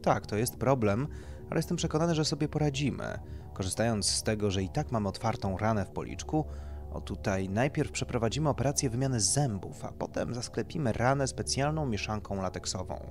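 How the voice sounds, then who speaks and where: A man's voice: mid-range voice, animated, fairly quick, a little mellow, faint music behind, pitched above the performer's own voice, lightly acted. A man voicing a polite, tactful male doctor who explains procedures clinically in an audiobook. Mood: reassuring. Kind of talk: audiobook character dialogue.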